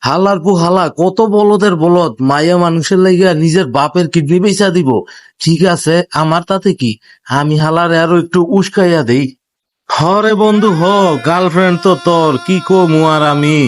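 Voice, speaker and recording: A man's voice: sits high, moderate pace, natural voice. A man playing a sly, mocking young friend in a comic cartoon, goading and scornful, with a Bangladeshi accent.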